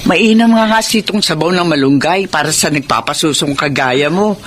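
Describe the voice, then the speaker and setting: A woman's voice: deep voice, normal speed, lightly acted. Actress as motherly older filipino auntie, kind and fussy, radio drama, noisy background. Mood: caring. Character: kindly, motherly older neighbour woman, fussing. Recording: noisy ambience behind voice.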